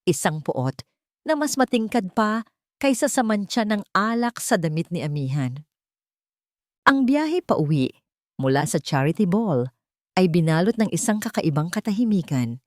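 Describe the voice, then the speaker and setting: A woman narrating a scripted romance story in a deliberate, emotive, dramatic way. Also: lowish voice, very animated, no echo, clean studio sound.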